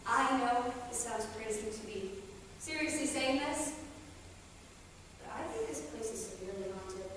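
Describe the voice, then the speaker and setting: A woman's voice: a little high, measured pace, long pauses, fairly bright tone. A woman playing an anxious, frustrated young married woman in a staged play reading, with earnest, emotional delivery. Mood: troubled, anxious. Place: theatre stage, distant microphone. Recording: very echoey hall from far off, noisy.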